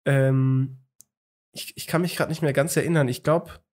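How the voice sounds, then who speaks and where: A man's voice: mid-range voice, steady tone, moderate pace, a little mellow. Rambling young German man chatting. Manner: rambling storytelling with many fillers and restarts. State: hungover. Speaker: podcast co-host. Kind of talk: casual chat.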